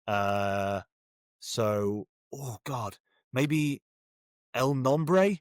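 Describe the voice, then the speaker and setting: A man's voice: mid-range voice, animated, drawn-out words, no room sound. Jokey British man chatting, dry close sound. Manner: jokey, self-deprecating, hesitant and discursive. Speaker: guest author. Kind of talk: casual interview chat.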